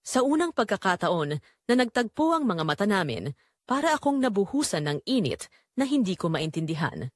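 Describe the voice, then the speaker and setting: A Filipino woman narrates a dramatic first-person story. Her delivery is emotive and confessional, with lively swings in pitch, recorded very dry and close. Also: moderate pace, no room sound.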